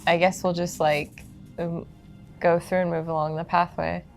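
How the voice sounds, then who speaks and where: A woman's voice: fairly low pitch, little pitch movement, normal speed, creaky. A woman playing a tabletop role-playing game, talking casually as she works out her moves. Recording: background music under the voice.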